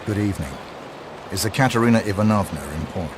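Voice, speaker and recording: A man playing a cold, terse professional assassin in a video game, speaking in a deadpan way. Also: fairly low pitch, quick pace, noisy background, lightly acted. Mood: cool, controlled.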